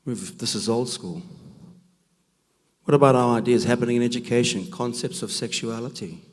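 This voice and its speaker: A man with a rough, gravelly voice from a cold, giving a preaching talk at a men's conference, emphatic and full of rhetorical questions. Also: mid-range voice, moderate pace. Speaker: conference speaker. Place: church hall. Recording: long reverb of a large hall.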